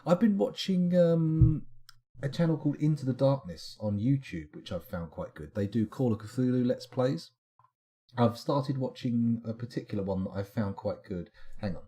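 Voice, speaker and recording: A man's voice: medium pitch, normal speed, dark tone. A man, chatting and rambling while he streams a game late at night and answers his chat, sounding tired. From England. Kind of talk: casual live-stream chat.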